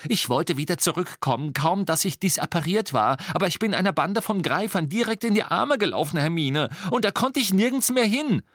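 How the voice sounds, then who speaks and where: A man's voice: on the high side, animated, unhurried, fairly bright tone, no echo, studio quality, voice pushed up. A man voicing a defensive, agitated teenage boy in an audiobook, insistent and earnest as he pleads his case. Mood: urgent explaining. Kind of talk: audiobook character voice.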